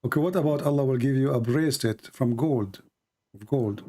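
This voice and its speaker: A man's voice: mid-range voice, a little fast, mellow, a little hiss or hum. A man who speaks English as a second language, hosting an unscripted live call-in debate with teasing, provocative questions. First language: not English. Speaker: live stream host taking calls.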